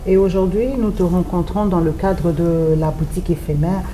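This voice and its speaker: A woman interviewer asking halting questions, restarting and filling pauses as she goes. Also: on the low side, fairly even intonation, mellow, slight background noise, low-quality recording.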